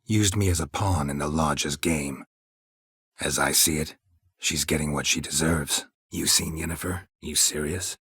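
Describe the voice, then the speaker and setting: A gruff, world-weary mercenary monster slayer from a fantasy video game. His voice is very deep and heavily husky, almost all gravel. He speaks in terse, sardonic, deadpan phrases, recorded dry with no room sound. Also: unhurried, no room sound, heavily acted. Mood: dry, firm. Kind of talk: video game character dialogue.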